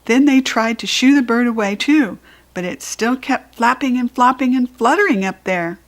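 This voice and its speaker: A woman with an American accent narrating a children's storybook expressively, with animated, dramatic emphasis. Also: a little high, normal speed. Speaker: storybook reader.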